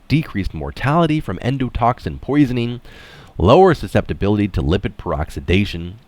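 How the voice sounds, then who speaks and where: A man with a very deep voice reads written material aloud in a conversational way. His intonation moves around a lot, keeping the narration animated. The sound is dry, with hardly any room on it. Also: no room sound. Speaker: reader and commentator. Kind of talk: read-aloud article with informal asides.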